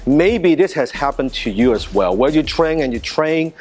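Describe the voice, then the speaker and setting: A man's voice: a little high, animated, moderate pace, a little mellow, faint music behind. Earnest man teaching self-defense. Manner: earnest, conversational, with restarts and repetitions. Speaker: martial arts instructor.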